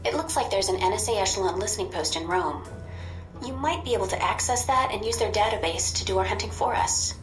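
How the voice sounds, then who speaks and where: A woman's voice: mid-range voice, natural voice. Woman acting a composed intelligence handler, roomy sound. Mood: measured, wry. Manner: measured, matter-of-fact, dryly teasing. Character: composed, wry female intelligence handler briefing an agent. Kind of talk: video game voice acting. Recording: roomy sound with music behind.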